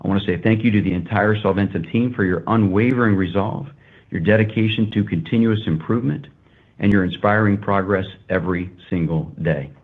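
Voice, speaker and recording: A man, a company executive, gives a prepared corporate earnings presentation in a measured, formal manner. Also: on the low side. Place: earnings conference call. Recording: muffled, phone-like conference call line.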